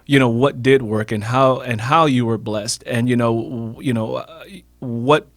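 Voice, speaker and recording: A man's voice: medium pitch, fairly even intonation, moderate pace. A man speaking as a radio show guest in a motivational conversation, emphatic and repeating phrases for stress.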